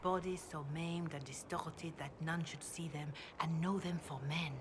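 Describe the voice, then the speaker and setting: An actress voicing a devout, grave priestess in a video game, speaking in a measured, reverent way. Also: female voice, sits low, steady tone, background noise. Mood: reflective. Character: devout, grave chantry priestess, calm and counselling. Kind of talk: video game dialogue, scripture recitation.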